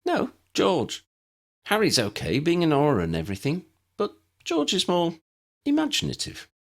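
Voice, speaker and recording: A man's voice: a little high, huge pitch swings, normal speed, voice pushed up. A man voicing a bold, teasing young woman in an audiobook story. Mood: proud, teasing.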